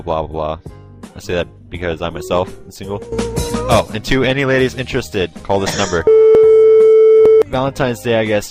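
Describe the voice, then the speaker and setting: A man in his twenties from Oregon, hosting a casual group podcast, joking and reading listener posts aloud. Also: low voice. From Oregon, USA. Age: 20s. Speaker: podcast host.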